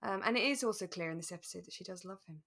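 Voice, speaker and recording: A woman co-hosting a podcast in relaxed discussion, explaining things thoughtfully and with emphasis. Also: fairly low pitch, very fast. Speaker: podcast co-host. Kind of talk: relaxed chat reviewing a radio drama.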